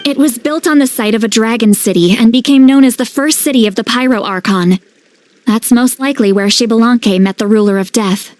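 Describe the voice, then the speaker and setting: A woman's voice: a little high, brisk, darkish tone, no room sound, close to an everyday voice. An actress playing an earnest young female guide in a video game. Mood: informative. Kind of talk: video game character acting.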